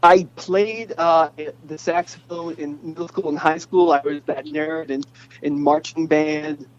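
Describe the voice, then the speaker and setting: A young man talks in a casual, playful interview style. His voice sits a little high. The phone recording is muffled, with noise underneath. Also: fairly even intonation, unhurried. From New England, United States. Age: 20s. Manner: chatty, playful. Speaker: interview guest, pop singer. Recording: muffled noisy phone connection.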